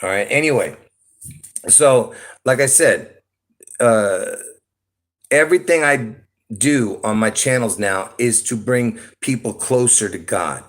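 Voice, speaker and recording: A man's voice: medium pitch, takes it slowly, pauses often. A man from Lebanon rambling through a solo livestream, often stopping to ask "okay?" or "all right?" and chuckling between thoughts. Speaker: YouTube livestreamer. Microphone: phone.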